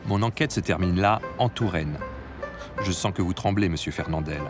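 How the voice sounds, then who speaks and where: Brief: low male narrator, measured scripted read, music underneath. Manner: addresses the listener directly, measured. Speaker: documentary narrator.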